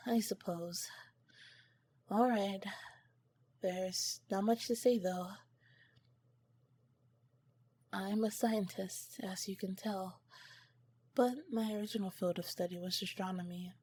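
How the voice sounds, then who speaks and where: An earnest female alien scientist, played by a woman, speaks softly and slowly in an ASMR roleplay. Her breathy voice carries formal, clinical phrasing, broken by shy, flustered hesitation. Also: medium pitch. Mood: reserved, wistful. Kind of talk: ASMR roleplay, soft-spoken to the listener.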